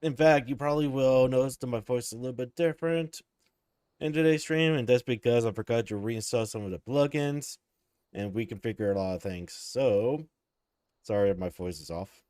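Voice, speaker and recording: A man streaming from home, sounding sleepy and relaxed as he chats casually with viewers and chuckles often. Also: mid-range voice, normal speed, clear, resonant tone, dry recording, studio quality. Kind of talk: casual live-stream chat.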